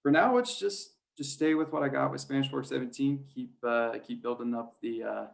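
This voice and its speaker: A man's voice: medium pitch, normal speed, creaky. A man who works as a play-by-play announcer, chatting casually and without affectation in an interview. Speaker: high school sports radio play-by-play announcer.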